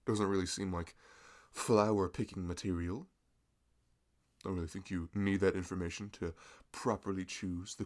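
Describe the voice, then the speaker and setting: A man's voice: low voice, a little mellow, close to an everyday voice. A man voicing a friendly, nerdy young florist in an ASMR roleplay, rambling, laughing often and teasing himself.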